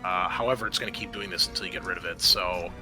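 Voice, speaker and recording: A man's voice: low-pitched, fairly even intonation, quick pace, rough recording. A man running a tabletop roleplaying game as game master, narrating scenes and explaining the rules to players. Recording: music under the voice. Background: music.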